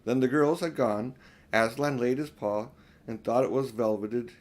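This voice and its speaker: A man's voice: medium pitch, unhurried. An older man reading a children's storybook aloud in an informal, unpolished way. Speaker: audiobook reader.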